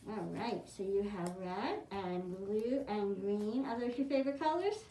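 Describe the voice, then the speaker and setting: A woman's voice: mid-range voice, a little slow, dark tone. A woman demonstrating a craft for children, giving simple step-by-step instructions in an encouraging way. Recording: roomy echo, clearly noisy, clicking.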